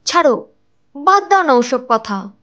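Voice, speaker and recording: A woman voicing a secretive young ghost wife who is evasive and sharp in her replies, in an animated cartoon. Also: high voice, a little slow, highs cut off, exaggerated voice. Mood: irritated, defensive. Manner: evasive, irritated, sharp retorts.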